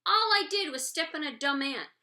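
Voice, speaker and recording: A woman's voice: sits high, lively intonation, normal speed, bright, forceful tone, pitched above the performer's own voice, exaggerated voice. A woman voicing a scornful schoolgirl in a storybook, with a condescending, scoffing way of talking.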